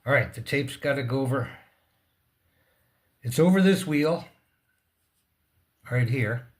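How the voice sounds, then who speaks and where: A man giving a casual hands-on repair demonstration, speaking in halting short fragments and muttering to himself as he works. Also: medium pitch, expressive. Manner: talks to himself and the object. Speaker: repair tutorial presenter.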